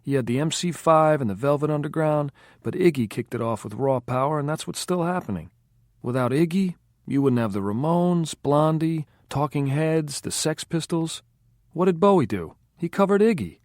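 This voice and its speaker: A man's voice: mid-range voice, unhurried, no echo, clean studio sound, pitched above the performer's own voice, close to an everyday voice. A man voicing an opinionated male rock fan who lectures and lists bands. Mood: lecturing. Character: male rock-music know-it-all, opinionated.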